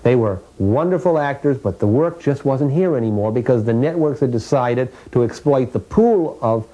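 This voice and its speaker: A man's voice: mid-range voice, animated, normal speed, mellow, highs cut off. A man speaking as an interviewed historian, telling history in a fluent, articulate, explanatory way.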